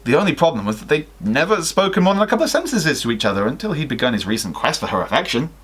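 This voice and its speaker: Animated young man reading a story. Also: fairly high pitch, racing, husky. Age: young adult. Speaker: guest reader on a livestream. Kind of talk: expressive fan fiction story reading with casual asides. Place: small home room with sloped ceiling.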